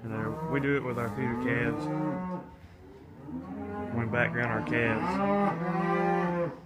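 A man from the United States giving a casual, halting walkthrough of his farm equipment, filming on a handheld phone outdoors and in a barn. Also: mid-range voice. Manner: plain, halting, explains equipment step by step. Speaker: farmer giving a tour. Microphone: handheld phone camera. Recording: loud farm and machinery noise.

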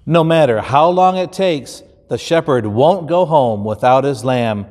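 A man in his fifties with an American accent, a pastor reading a children's story aloud in an expressive, deliberate way. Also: mid-range voice. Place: large indoor church stage. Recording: roomy hall with long reverb.

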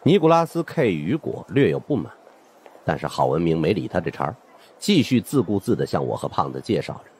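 A man's voice: sits low, sing-song, fairly quick, a little mellow, a little hiss or hum. A man narrates suspense fiction as a dramatized first-person audiobook storyteller.

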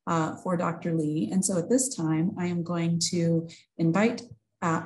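A woman's voice: lowish voice, a little mellow, low-quality recording. A woman in her thirties hosting a webinar with a semi-formal introduction, speaking over a video call from an office. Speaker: webinar host. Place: small indoor office. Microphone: video call, computer microphone.

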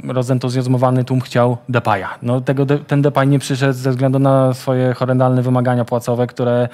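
A man talking football as a studio pundit, steady and evaluative, in a TV studio. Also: medium pitch, normal speed.

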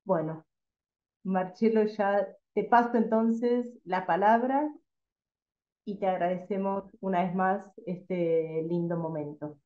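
A woman's voice: mid-range voice, steady tone, slow, warm tone. A woman hosting a seminar, steadily reading a formal introduction of the speaker over a video call. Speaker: host. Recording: roomy, dull video call audio.

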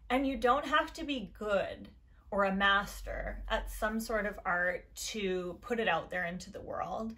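Low-voiced young woman vlogging at home. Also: fairly bright tone. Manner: earnest, meandering, encouraging. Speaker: YouTube creator. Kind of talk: casual talk to camera. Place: decorated bedroom indoors.

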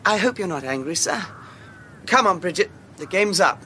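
An eager, high-voiced young Englishman. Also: animated, moderate pace, pushed and bright, a little hiss or hum. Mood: sheepish, apologetic. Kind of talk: radio drama acting.

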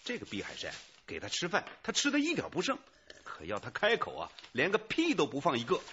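An actor playing a brisk, assertive police detective in a radio drama. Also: male voice, fairly high pitch, huge pitch swings, bright, forceful tone, highs cut off, slight background noise, heavily acted. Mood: bustling, exasperated.

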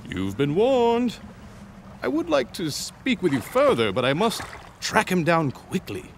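An actor playing an eccentric, cryptic gentleman in a video game, florid, formal and politely mocking. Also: high voice, wildly swooping pitch, unhurried, vocal fry, slight background noise, heavily acted. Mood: cryptic warning. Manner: florid, formal, sing-song delivery. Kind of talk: theatrical video game voice acting. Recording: music under the voice.

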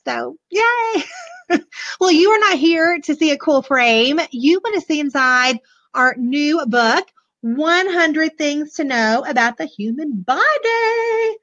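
A bubbly woman in her forties talks in a hoarse, high voice while chatting with her live viewers about a children's book. The webcam audio is dull and lo-fi. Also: lively intonation, slow pace, fairly bright tone. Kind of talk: casual live book show-and-tell. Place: small room indoors, decorated backdrop. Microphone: webcam at desk.

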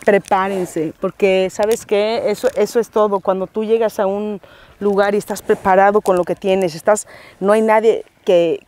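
A woman's voice: medium pitch, normal speed. A Mexican woman in her forties, a guest actress, talking passionately in a casual outdoor interview with a lapel mic. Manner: passionate, animated storytelling with many restarts. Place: outdoors on a grassy hillside.

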